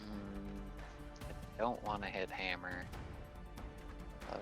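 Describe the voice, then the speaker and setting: A man's voice: fairly low pitch, flat delivery, very slow. A man playing a tabletop roleplaying game, chatting casually and thinking aloud. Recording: background music under the voice.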